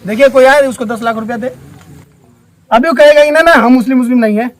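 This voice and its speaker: A man with a distinctly high voice and a Bihari accent answers questions in an unscripted interview. He is emphatic, often saying a phrase again to drive it home. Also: fast talker, no room sound, poor audio. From Bihar, India. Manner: emphatic, repeats phrases for stress. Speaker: local interviewee.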